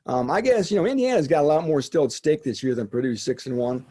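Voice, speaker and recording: A man's voice: fairly high pitch, lively intonation, fast, mellow, slightly rough. An American man asking questions on a sports talk show in a conversational, roundabout way.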